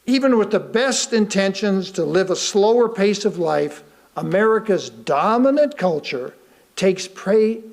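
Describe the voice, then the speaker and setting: A man reading a sermon aloud to a congregation, deliberately, pausing between short phrases. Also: sits high, creaky. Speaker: guest speaker reading a sermon.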